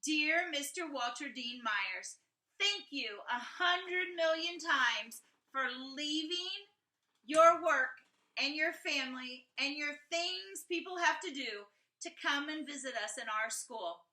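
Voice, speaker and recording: An earnest schoolboy from a storybook , acted out by a woman, gushing and emphatic as he reads out his writing. The voice is very bright and high, taking its time over the words in an echoey room. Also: lively intonation, exaggerated voice. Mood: grateful, gushing. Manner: emphatic, halting repetition of words. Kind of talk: expressive storybook read-aloud.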